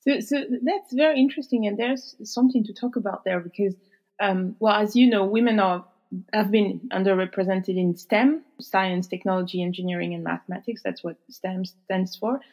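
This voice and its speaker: A woman hosting an interview podcast, presenting in a measured, clear way. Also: mid-range voice, a little mellow, rough recording. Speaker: podcast host.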